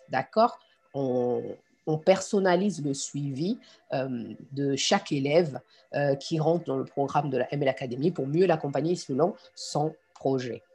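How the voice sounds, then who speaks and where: A woman's voice: deep voice, a little mellow, breathy edge. A woman from Congo and France giving an informal online lesson as a coach, speaking directly and encouragingly to her students. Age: adult. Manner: encouraging, addresses the learner directly. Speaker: online course instructor and coach.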